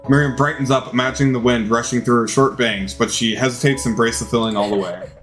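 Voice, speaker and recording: A man's voice: mid-range voice, steady tone, quick pace, fairly bright tone, faint music behind. A man reading game text aloud as a dramatized narration during a playthrough.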